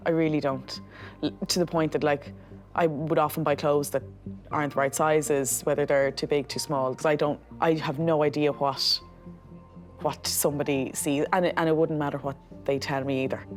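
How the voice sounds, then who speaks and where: A woman's voice: low voice, fairly even intonation, moderate pace, soft background music. Earnest Irish woman in her twenties. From Ireland. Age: 20s. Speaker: strongwoman competitor and reality show guest. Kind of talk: earnest confessional interview.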